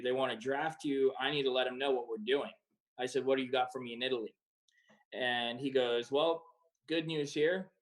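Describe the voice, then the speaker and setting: A man in his twenties, a professional soccer player who grew up in Croatia and the United States, answering in a relaxed way with many fillers. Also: medium pitch, low-quality recording. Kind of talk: casual interview answers.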